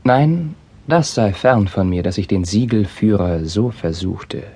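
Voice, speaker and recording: A tormented young crown prince of classical drama. The voice is fairly low and rough-edged. He declaims formal verse with bitterness and intense passion. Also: expressive, measured pace, dark tone, heavily acted. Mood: bitter defiance. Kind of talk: classical German stage drama acting.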